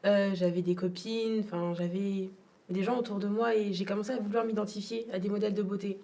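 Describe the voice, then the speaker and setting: A woman's voice: mid-range voice, steady tone, a little fast, dark tone, far from the mic. A young adult woman from Togo, telling her personal story as an interview guest in a reflective, hesitant way. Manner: reflective, repeats words, frequent hesitations.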